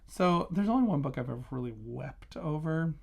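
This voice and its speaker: A man's voice: on the high side, animated, moderate pace, creaky. A man talking casually as a vlogging reviewer, gushing with emphatic, enthusiastic delivery. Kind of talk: casual chatty book review vlog.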